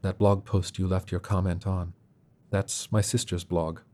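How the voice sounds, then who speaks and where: A man voicing a calm, gentle man with a soft, soothing, unhurried delivery. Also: sits low. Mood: honest, calm.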